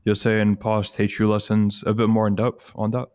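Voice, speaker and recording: A man's voice: on the low side, completely flat delivery, moderate pace, darkish tone. A man hosting a casual interview, asking questions in a conversational way.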